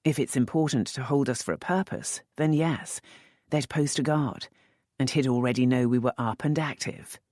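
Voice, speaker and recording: A woman narrator voicing a teasing, wry young earl in an audiobook, speaking dry and measured. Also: extremely low pitch, darkish tone, no room sound. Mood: calm.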